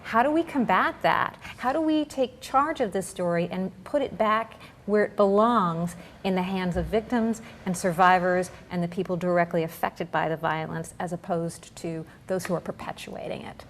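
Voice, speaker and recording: A woman's voice: fairly low pitch, normal speed, few pauses, a little hiss or hum. A woman with an American accent hosting an interview, conversational and articulate, with a wry streak and long, carefully built questions. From United States. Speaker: interview host.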